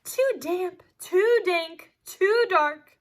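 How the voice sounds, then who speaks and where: A woman voicing a small, fussy and timid mouse with a squeaky voice in a picture book. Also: expressive, very slow, exaggerated voice. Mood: fussy complaint.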